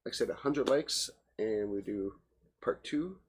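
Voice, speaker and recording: A man's voice: lowish voice, a little slow, breathy edge. A man hosting a let's play, reading story narration aloud with some dramatic emphasis and casual commentary. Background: loud game music.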